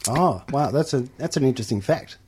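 A man's voice: medium pitch, lively intonation, fairly quick, darkish tone, husky. A man hosting a community radio show, introducing his guest in a hesitant way full of uhs and ums. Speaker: radio host. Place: radio studio.

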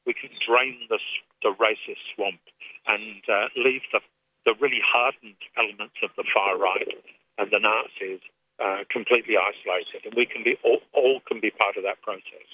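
A man with an English accent, speaking as an activist guest in a radio phone interview, earnest and measured with frequent restarts. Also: lowish voice, fairly even intonation, no echo. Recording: tinny phone line.

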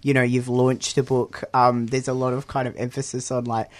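A man guesting on a radio interview, talking loosely and warmly about his book. His voice sits at an ordinary middle pitch for a man, and he drops in lots of "you know" and small laughs as he goes. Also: little pitch movement, moderate pace. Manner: chatty, with many fillers and laughs.

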